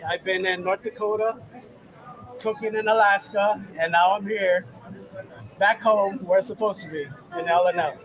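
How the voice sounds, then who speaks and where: Chatty middle-aged chef with high voice. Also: male voice, normal speed, a crowd in the background, low-quality recording. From Samoa, raised in Hawaii. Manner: relaxed, chatty, laughs easily. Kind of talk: casual live cooking demo. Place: outdoor boat show.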